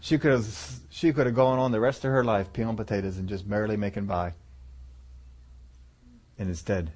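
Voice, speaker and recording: A man's voice: steady tone, moderate pace. Folksy man telling a sermon story. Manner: folksy, chuckles often, voice sinks low and soft at key lines.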